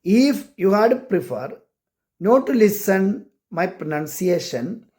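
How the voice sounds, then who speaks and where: A man with an Indian accent, a non-native English speaker, talking earnestly and deliberately as he presents and reads his own writing. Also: high voice, big pitch swings, a little mellow. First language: not English. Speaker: amateur short story writer and care worker. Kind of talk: personal introduction and reading aloud of own short story.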